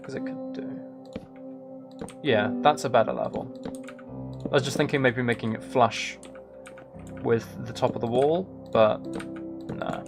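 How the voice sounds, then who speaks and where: Soft-spoken man musing over a game. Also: medium pitch, huge pitch swings, measured pace, vocal fry, pauses often, over music. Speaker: livestream host. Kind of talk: casual gaming livestream chat, thinking aloud while building.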